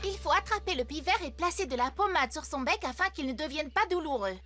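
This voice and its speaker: A woman voicing a cheerful, eager young girl in a cartoon dub, bubbly and expressive. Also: sits high, a little fast. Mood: curious, unsure. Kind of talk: French cartoon dub acting. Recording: music behind the dialogue. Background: music.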